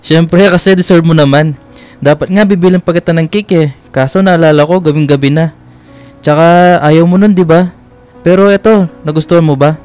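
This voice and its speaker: A man's voice: a little high, fairly even intonation, moderate pace, a little mellow, clear, resonant tone, soft background music, lightly acted. A man playing a devoted, sweet and teasing young boyfriend in a radio romance drama, with a Filipino accent and a tender, coaxing manner. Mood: caring.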